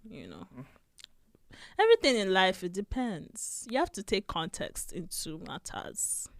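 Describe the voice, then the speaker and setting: A woman's voice: medium pitch, huge pitch swings, measured pace, no echo. A woman whose first language is Igbo, co-hosting a podcast in casual chat, playful and self-assured, laughing often. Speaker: podcast co-host.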